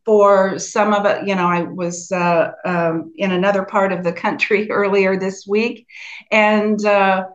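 A middle-aged woman from the United States with a soft Southern US accent, answering interview questions in a slow, measured way with frequent fillers. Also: mid-range voice, flat delivery, lo-fi sound. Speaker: guest, sound healing practitioner.

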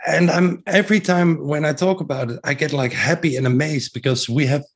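A man's voice: on the high side, fairly even intonation, brisk, breathy edge. A middle-aged man with a strong Dutch accent, a guest talking about his breathing work in an eager, rambling way with many restarts. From Netherlands. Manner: enthusiastic, with frequent restarts and fillers. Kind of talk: casual interview talk.

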